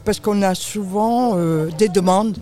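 A woman's voice: mid-range voice, a little slow, dark tone. A woman from France, speaking spontaneously and emphatically as a charity representative, often addressing the listener directly. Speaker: aid charity representative, interview guest. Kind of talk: spontaneous interview explanation.

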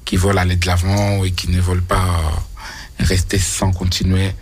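A man from Angola, a native Portuguese speaker, talks as a guest singer on the radio. His voice is very low and husky. He pauses often and leans on fillers. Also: monotone. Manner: hesitant, many fillers. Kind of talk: radio interview answers.

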